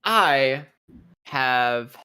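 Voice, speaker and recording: An unhurried, mid-pitched voice at a casual roleplay session. The player narrates fight moves with swagger, peppering them with slang and swearing. The talk is loose and conversational. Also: animated, sharp tone, clear, resonant tone.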